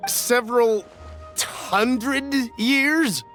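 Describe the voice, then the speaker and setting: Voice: mid-range voice, extremely slow, a bit bright, soft background music. An actor playing a cautious, deferential young buyer in a video game, polite and easily flustered. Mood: flustered, pleading. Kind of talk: video game character dialogue.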